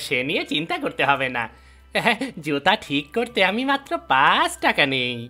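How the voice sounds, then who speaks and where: A woman's voice: low voice, sing-song, measured pace, sharp tone, soft background music, full character voice. A woman voicing a smug, sneering male village cobbler in a fairy-tale cartoon, with a mocking, crafty manner. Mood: oily, eager. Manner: mocking, chuckling, swooping delivery.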